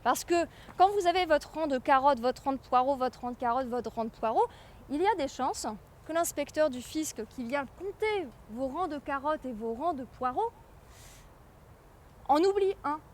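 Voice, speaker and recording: A woman giving an informal public lecture, explaining things with hesitant pauses and repeated words. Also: high voice, expressive, slight background noise. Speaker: guest lecturer, medieval historian.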